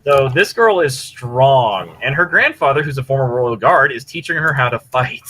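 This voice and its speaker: A man's voice: mid-range voice, lively intonation, normal speed, bright, forceful tone. A man giving a casual, enthusiastic, rambling review of stories as a podcast co-host, speaking over an online voice chat. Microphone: computer mic on online voice chat. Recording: clear background noise, push-to-talk online voice chat.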